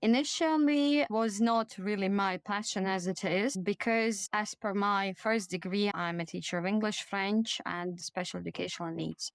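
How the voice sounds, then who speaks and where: A woman from Ukraine speaking English with a Ukrainian accent, answering interview questions earnestly with frequent pauses. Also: medium pitch, clear, resonant tone, dry recording. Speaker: guest, charity project lead. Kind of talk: interview conversation.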